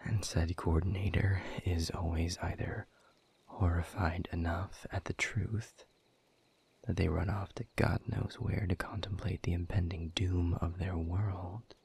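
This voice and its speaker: A man playing a weary, bitter exiled demon in an audio drama, speaking in a gravelly, breathy half-whisper with a slow, sardonic delivery. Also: low voice, flat delivery, darkish tone, heavily acted. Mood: brooding bitterness. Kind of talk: dramatic audio roleplay monologue to the listener.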